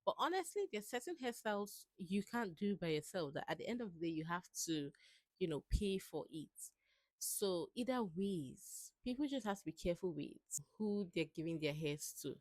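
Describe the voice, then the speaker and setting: Frank woman with a dry, lowish voice. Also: lively intonation, moderate pace, darkish tone, no echo. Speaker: commentator. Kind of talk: casual opinion commentary.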